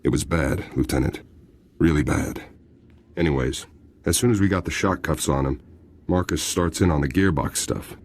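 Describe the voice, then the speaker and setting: A military jailer in a video game. He has a very deep voice and talks in a folksy, informal way, respectful to his superiors. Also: moderate pace, darkish tone, slight background noise. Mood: earnest, obliging. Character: deferential, chatty military prison guard.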